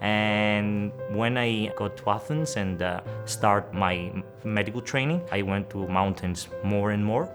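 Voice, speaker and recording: A man in his thirties with a Greek accent and a slightly low voice speaks in a relaxed, halting way. Clear music plays behind him in this documentary interview. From Greece. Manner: relaxed, hesitant with fillers. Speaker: doctor.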